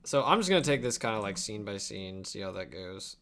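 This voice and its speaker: A man's voice: lowish voice, sing-song, brisk, a bit bright. A young man chatting casually on a livestream at his desk, muttering his thoughts aloud as he works. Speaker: live streamer and video editor. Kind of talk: casual livestream chat while working. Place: indoor home desk setup. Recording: clear background noise with keyboard clicks. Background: mouse clicks and typing.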